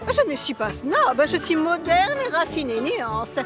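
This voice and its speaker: A woman's voice: high voice, very animated, a little slow, over music. A woman voicing a prim, snobbish lady puppet in a cartoon puppet show, with an affected, disdainful manner.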